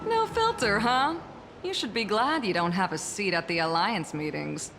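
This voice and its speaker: A woman's voice: mid-range voice, gravelly, heavily acted. A woman voicing a teasing, unruffled commander with a menacing edge in a video game, playful and mocking. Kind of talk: video game character acting.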